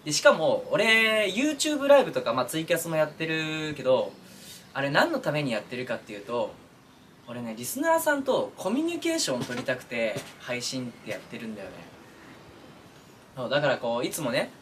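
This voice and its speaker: A man's voice: a little high, huge pitch swings, a little fast, a bit bright. Man chatting earnestly, distant noisy recording. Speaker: voice actor and streamer addressing listeners. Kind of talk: casual, heartfelt chat to fans. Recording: distant mic, clearly noisy background.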